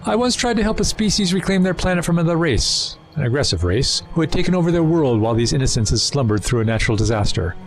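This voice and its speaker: An actor in an audio drama playing a suave, erudite renegade Time Lord villain, wordy, condescending and persuasive. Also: male voice, fairly high pitch, big pitch swings, fast, hardly any pauses, soft background music. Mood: persuasive, scornful. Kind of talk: full-cast audio drama acting.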